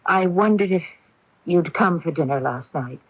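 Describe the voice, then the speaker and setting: Young female neighbor, polite, evasive, halting; radio drama, lo-fi broadcast. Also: lowish voice, expressive. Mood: hesitant, apologetic. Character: polite, evasive young woman, newly arrived summer neighbor. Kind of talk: 1980s radio drama acting. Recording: dull, lo-fi 1980s radio broadcast.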